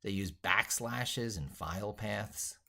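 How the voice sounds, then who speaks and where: A man thinking aloud as he drafts an opinionated tech piece, halting, repeating and reworking his phrases with emphatic points. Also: lowish voice, vocal fry, sharp tone. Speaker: tech educator and video creator.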